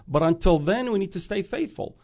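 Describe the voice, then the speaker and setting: A man's voice: high voice, lively intonation, a little fast, a little mellow, lo-fi sound. A man who grew up in Iraq, a guest speaking about prophecy in an earnest, preaching way, often restarting phrases and rising to emphatic peaks.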